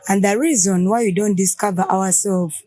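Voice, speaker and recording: Ugandan woman, mid-pitched, talks through points by asking and answering her own questions. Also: steady tone, moderate pace, warm tone, dry recording, clean studio sound. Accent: Ugandan English. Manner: explains with examples, asks and answers own questions. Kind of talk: casual group conversation.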